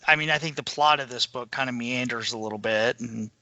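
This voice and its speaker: A man's voice: medium pitch, brisk, bright, forceful tone, no room sound, dull audio. A man co-hosting a book podcast, chatting casually about what he has read. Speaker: podcast co-host discussing books.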